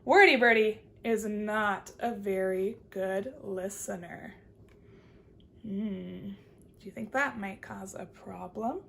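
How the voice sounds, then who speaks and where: A woman kindergarten teacher reading aloud to young children, expressive and encouraging, stopping to ask simple questions. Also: medium pitch, slow pace, gravelly, a little hiss or hum.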